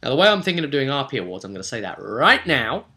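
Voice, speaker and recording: A man with a forceful, bright voice at an ordinary male pitch talks through a tabletop roleplaying session. He is an animated game master who rambles, leans hard on key words by repeating them, and voices bits of what players might say. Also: fast. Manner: animated, emphatic, repeats words for stress, acts out imagined players' remarks.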